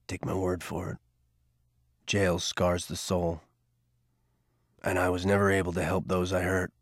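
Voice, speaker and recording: A man voicing a quiet, regretful older mentor, speaking low and subdued. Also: level tone, unhurried, no echo, studio quality.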